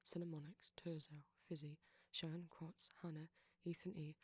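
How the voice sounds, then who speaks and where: Even, breathy man reading an outro. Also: fairly high pitch, normal speed, no room sound. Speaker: ASMR audio creator. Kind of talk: scripted outro, reading thanks and names.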